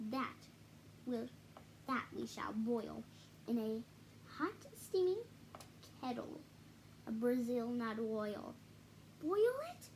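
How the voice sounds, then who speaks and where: A woman reads a children's storybook aloud in a high voice. Her pace is slow and halting, with repeated words and casual asides. There is faint noise on the recording. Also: darkish tone. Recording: noisy home recording.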